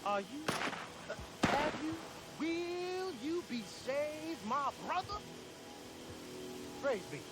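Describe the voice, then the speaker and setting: An actor playing a rambling, giddy preacher in a video game, with a halting, grandiose manner. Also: male voice, extremely high pitch, very animated, loud music behind the voice, exaggerated voice. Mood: exalted pleading. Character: rambling, giddy male preacher pestering passers-by with salvation. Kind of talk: theatrical video game acting.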